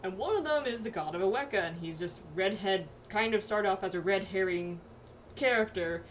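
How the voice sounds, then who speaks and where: A woman streaming a game with casual, animated, playful commentary among friends. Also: medium pitch, moderate pace. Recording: faint background noise.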